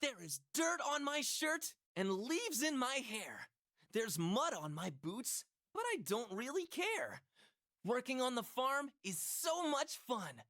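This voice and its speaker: A woman playing a lively, friendly young guide in a children's learning game, narrating in sing-song rhyme. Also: high-pitched, takes it slowly, dry recording, studio quality, full character voice. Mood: cheerful. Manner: sing-song rhyming delivery. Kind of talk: scripted rhyming children's educational narration.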